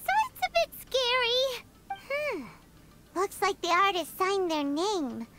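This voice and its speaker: A woman voicing a tiny, childlike fairy companion in a video game, timid and excitable, with a squeaky, whiny delivery. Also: takes it slowly, soft background music, full character voice. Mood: uneasy, curious. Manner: refers to self in third person, whiny.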